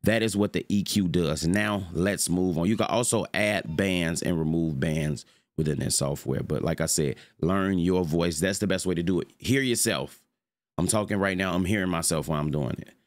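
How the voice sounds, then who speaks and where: A young man with a deep voice giving a loose, rambling, slangy tutorial on his streaming setup from his home room. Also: moderate pace, no room sound. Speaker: streamer showing mic settings. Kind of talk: casual screen-recorded tutorial.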